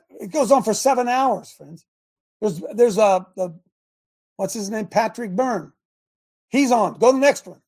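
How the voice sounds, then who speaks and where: A male talk-show host with a high, gravelly voice that turns husky at the edges. He talks emphatically, exclaiming to his viewers and calling out instructions to his producer. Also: dark tone. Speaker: host of a live talk stream. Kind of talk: casual live-stream talk show.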